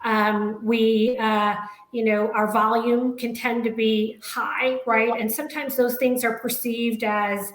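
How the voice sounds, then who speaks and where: A woman human resources executive speaking on a panel in a measured way, often tagging her sentences with "right?". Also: a little high, level tone, echoey room, low-quality recording. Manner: measured, frequent "right?" tags. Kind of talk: panel discussion.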